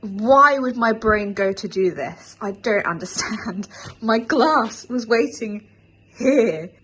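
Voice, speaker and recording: A woman's voice: on the high side, lively intonation, slow, a bit bright. A British woman in her twenties chatting casually to camera in a self-deprecating vlog style. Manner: chatty, self-deprecating, repeats phrases for emphasis. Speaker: vlogger.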